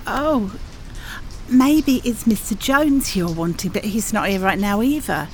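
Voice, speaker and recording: A woman's voice: mid-range voice, animated, unhurried, a little hiss or hum. An actress playing an anxious, guarded young woman who is shielding a fugitive in a radio crime drama. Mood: defensive, nervous.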